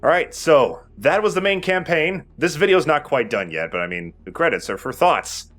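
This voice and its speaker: A man's voice: on the high side, lively intonation, normal speed, a bit bright, faint music behind. A man giving casual, joking gameplay commentary as host and player, with some swearing. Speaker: let's play host and player. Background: video game sounds.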